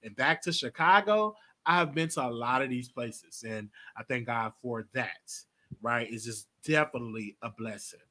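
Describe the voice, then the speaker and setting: Host, late 20s male, bright and lively, chatty and quick to laugh. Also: medium pitch. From Texas. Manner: chatty, animated, laughs often. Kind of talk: casual live call-in show.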